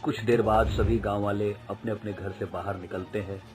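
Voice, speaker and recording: A man's voice: on the low side, steady tone, normal speed, music in the background. A man narrating a scripted story as its storyteller.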